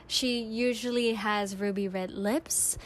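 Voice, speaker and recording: High-voiced young woman hosting a podcast. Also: fairly even intonation, slow pace. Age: young adult. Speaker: radio podcast host. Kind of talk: personal anecdote, monologue to listeners.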